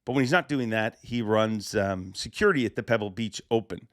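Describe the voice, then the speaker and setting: Slightly raspy, excited podcast host. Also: male voice, on the low side, brisk, dry recording, studio quality. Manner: excited, emphatic, rambling. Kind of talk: casual podcast monologue.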